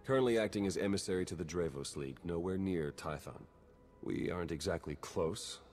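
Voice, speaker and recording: Actor as a wry, deep-voiced spy. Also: male voice, brisk, a little mellow, soft background music, lightly acted. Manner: dry, wry, understated. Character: wry, cynical male spy, determined and rule-bending. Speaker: intelligence agent character. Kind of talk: video game cutscene acting. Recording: long hall reverb, noisy ambience behind.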